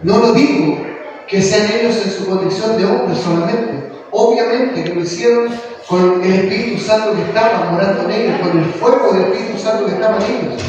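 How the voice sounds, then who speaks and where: A man's voice: high voice, brisk, a little mellow, slight background noise. A male pastor preaching a sermon in a church, full of rhetorical questions and rising to impassioned calls. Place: church hall. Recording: echoey hall from far off.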